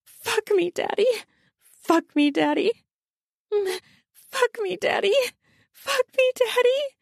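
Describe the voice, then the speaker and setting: A woman's voice: very high voice, animated, slow, no room sound, studio quality, voice pushed up, exaggerated voice. A woman voicing a lustful, impatient woman who whimpers, pleads and pouts in an audiobook romance. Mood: whimpering, wanton. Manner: breathy whimpering and pouting.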